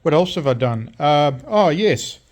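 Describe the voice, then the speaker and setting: A man, casually explaining his restoration of an old CB radio in a workshop, full of pauses, ums and self-corrections as he works through technical details. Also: fairly high pitch, expressive. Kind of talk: casual workshop show-and-tell.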